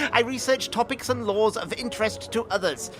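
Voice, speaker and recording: A man's voice: very high-pitched, normal speed. A man playing a nervous, bookish records clerk in an audio drama, speaking formally and apologetically with a fussy stammer. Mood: flustered, eager. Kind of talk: acted audio drama dialogue. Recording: music under the voice.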